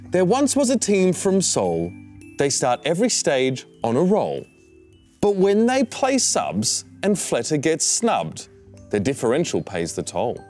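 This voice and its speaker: Male esports panelist, casual and playful, very animated pitch, music underneath. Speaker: esports panel host and analyst. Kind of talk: casual panel commentary with playful rhymed story reading.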